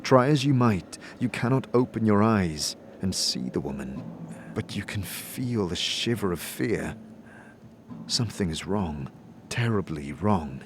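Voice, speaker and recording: A man narrating a dark fantasy audio drama in the second person, with deliberate, suspenseful storytelling. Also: lowish voice, a little hiss or hum.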